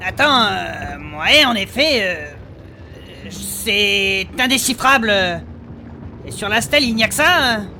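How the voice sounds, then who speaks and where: A man's voice: high-pitched, lively intonation, slow, sharp tone, slight background noise, full character voice. A man playing an eager, curious adventurer in a comic fantasy audio drama, casual, slangy and a little hesitant. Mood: curious, puzzled. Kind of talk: French fantasy comedy audio fiction acting.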